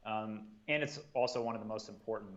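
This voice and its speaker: Man giving relaxed advice, dull audio. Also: lowish voice, fairly quick. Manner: relaxed, advice-giving, many "um"s and "you know"s. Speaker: guest, marketing and sales coordinator. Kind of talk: casual podcast discussion.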